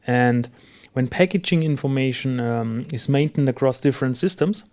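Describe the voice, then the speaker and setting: Non guy chatting on a business podcast, mid pitch, agreeable, kinda halting while he finds words. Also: darkish tone. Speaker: podcast host. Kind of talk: conversational business podcast discussion.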